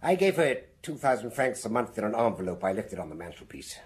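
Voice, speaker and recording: An actor playing a haughty, self-important man in a radio drama, pompous and affronted, with a raspy voice. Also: fairly low pitch, sing-song, fast talker, exaggerated voice. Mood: indignant. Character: haughty, indignant, self-important male suspect with influential friends.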